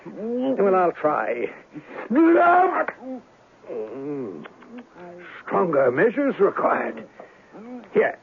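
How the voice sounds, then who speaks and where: An actor playing a suave, eccentric warlock in a 1970s radio drama, courteous and sly, with ornate theatrical phrasing. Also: male voice, high-pitched, gravelly, heavily acted. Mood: gleeful, urgent. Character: suave, eccentric male warlock and witch doctor. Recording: lo-fi, noisy old radio broadcast.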